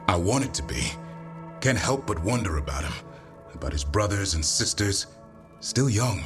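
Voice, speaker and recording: A grizzled griffin keeper from a fantasy game, with a low voice. He speaks in an earnest, measured way, like a reflective soldier. Music plays clearly behind him. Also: very animated. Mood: reflective, troubled. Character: grizzled, earnest adult male griffin-keeping warrior. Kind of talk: video game dialogue acting.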